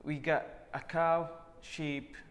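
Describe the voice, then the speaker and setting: Interviewee: young man from Syria, fairly high voice, terse, trails off softly, long reverb, faint hum. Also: level tone, slow. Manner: short, plain answers, voice trailing off quietly. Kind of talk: casual interview answers.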